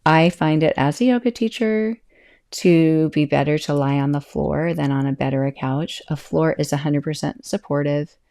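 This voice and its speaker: A middle-aged woman and yoga teacher hosting her own podcast in a chatty, self-interrupting way, laughing often, with a calm voice that can turn loud. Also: deep voice, normal speed. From California. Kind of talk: casual solo podcast talk.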